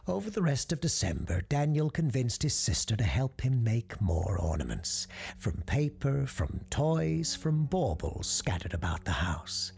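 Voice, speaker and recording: A podcast host and storyteller giving dramatic, measured scripted narration, with a gravelly voice that drops into hushed tones. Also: mid-range voice, big pitch swings. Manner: theatrical, measured.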